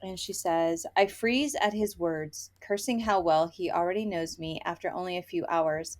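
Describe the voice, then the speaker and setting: A woman's voice: lowish voice, moderate pace. A woman co-hosting a book review podcast, chatting casually and gushingly and sometimes reading quotes aloud. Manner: informal, chatty, gushing, frequent "like".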